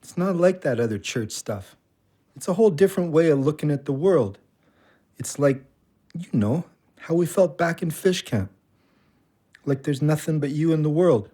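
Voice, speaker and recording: A man's voice: fairly high pitch, lively intonation, moderate pace, long pauses, warm tone, slightly rough, no room sound, studio quality. A man acting in an audio play as a reflective ex-convict trying to stay sober, with a Canadian accent and an earnest, colloquial manner.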